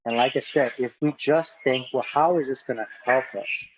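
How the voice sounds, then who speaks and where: A man from Hungary talks through his thoughts in an interview, pausing on fillers and restarting phrases. His voice sits at an ordinary pitch. The recording sounds muffled and lo-fi, with a faint hiss behind it. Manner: reflective, repeats himself, many fillers. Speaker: guest speaker. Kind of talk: casual interview talk.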